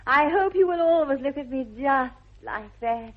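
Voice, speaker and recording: Casting: young English society lady, gushing yet precise, upper-class accent, high and very melodic, vintage radio comedy. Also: expressive, normal speed. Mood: coy, adoring. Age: young adult. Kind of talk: 1960s BBC radio comedy acting. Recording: dull old radio recording.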